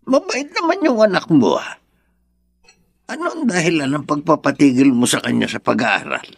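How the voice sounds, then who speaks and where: An actor playing a kindly, concerned old grandfather in a Filipino radio drama, exclaiming and gently reproving. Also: on the high side, big pitch swings, normal speed, vocal fry, breathy edge, heavily acted. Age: older.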